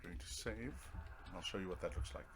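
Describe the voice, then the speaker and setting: A man's voice: sits low, moderate pace, a crowd in the background. A man giving casual, wry commentary as he plays through a video game. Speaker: gaming video host. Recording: clear background noise.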